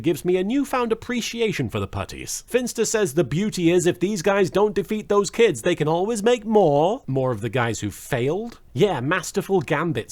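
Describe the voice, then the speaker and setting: A man narrating a scripted comedic review with a wry, sarcastic manner. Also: high-pitched. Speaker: video reviewer.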